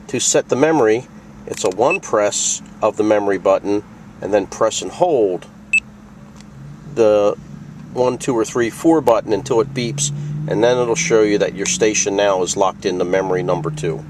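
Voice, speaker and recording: A man's voice: lowish voice, normal speed, far from the mic, background noise. A man hosting a casual product tutorial, explaining things plainly and step by step. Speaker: video host demonstrating a radio.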